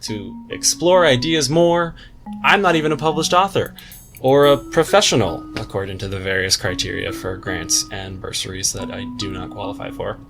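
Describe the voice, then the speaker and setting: A man with a fairly low voice delivers scripted commentary as a reviewer and video host. His manner is wry and tongue-in-cheek, full of slangy asides. Faint music plays behind him. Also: normal speed, vocal fry.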